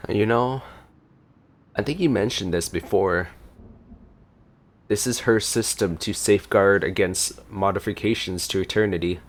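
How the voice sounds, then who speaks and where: A man's voice: fairly low pitch, measured pace, long pauses. A man giving casual, chatty gameplay reaction commentary as a streamer, talking to his viewers. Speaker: gaming streamer.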